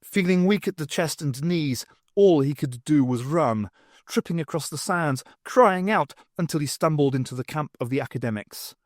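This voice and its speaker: A man narrating fiction as an audiobook reader, in an expressive scripted storytelling style. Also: on the high side, moderate pace, no echo, clean studio sound.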